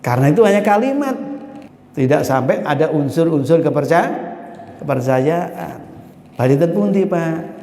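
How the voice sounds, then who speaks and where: A male preacher with a Javanese accent gives a lively sermon in a high-pitched voice. He explains verses phrase by phrase and slips into other people's voices to act out questions. The sound rings with a long reverb, as in a large hall. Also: normal speed. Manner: lively storytelling, mixing in Arabic and Javanese phrases, mimics questioners. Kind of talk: Islamic study sermon. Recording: echoey hall recording.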